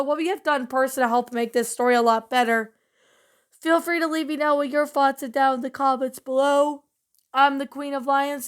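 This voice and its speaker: A woman whose voice is hoarse from a cold, sitting a little high in pitch. She hosts her own channel and talks through a casual review in a loose, rambling way, full of fillers and restarts, staying upbeat. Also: a bit bright. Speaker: channel host reviewing a story.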